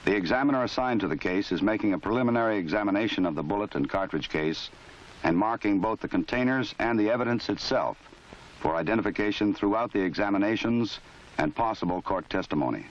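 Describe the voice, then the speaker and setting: A man giving formal, measured scripted narration for an old training film. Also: on the low side, lively intonation. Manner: measured, formal, matter-of-fact. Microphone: voice-over. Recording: dull lo-fi 1970s film soundtrack.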